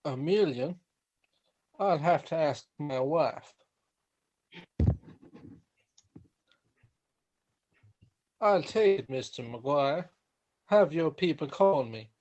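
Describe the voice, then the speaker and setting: In a dull, muffled recording, a man plays a mild family father in a radio play. He speaks slowly and hesitantly, in a slightly high male voice. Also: lively intonation, a little mellow. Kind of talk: amateur radio drama acting. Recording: dull lo-fi sound.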